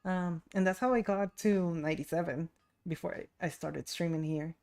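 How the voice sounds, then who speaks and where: A woman's voice: lowish voice, moderate pace, darkish tone. A woman chatting casually as she live-streams a video game. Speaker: streamer, VTuber. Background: game music.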